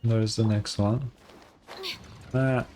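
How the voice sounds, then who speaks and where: A man's voice: fairly low pitch, fairly even intonation, extremely slow, darkish tone, slight background noise. A man giving casual gameplay commentary, muttering his reactions and swearing now and then. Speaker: gamer narrating own playthrough. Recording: game music behind voice. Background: game music.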